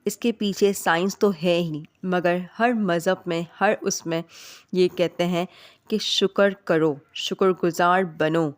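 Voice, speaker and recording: A woman hosting a vlog, giving an earnest motivational voice-over that speaks straight to listeners, her voice heavy and hoarse from a sore throat. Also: on the low side, steady tone, takes it slowly. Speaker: vlog host.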